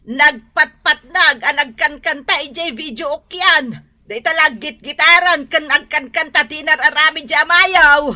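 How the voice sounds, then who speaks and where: A woman's voice: high voice, a little slow, few pauses, bright, forceful tone, exaggerated voice. A woman with an Ilocano accent playing a nagging, exasperated mother and wife in a radio drama. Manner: nagging, emphatic scolding.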